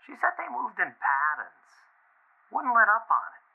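An actor playing a rattled, hesitant young boyfriend with a gravelly voice, leaving an agitated voicemail in an audio drama. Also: male voice, high-pitched, huge pitch swings, a little slow, heavily acted. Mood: worried, rattled. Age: young adult. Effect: phone voicemail. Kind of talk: found-footage audio drama, phone message. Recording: tinny, narrow phone line.

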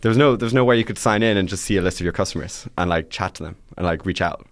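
Guy in his 20s, deep voice, dead dry mic, lots of "like"s. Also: rapid-fire, no echo. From Ireland. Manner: rambling, with frequent "like" fillers. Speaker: podcast guest, startup CEO. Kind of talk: casual interview chat.

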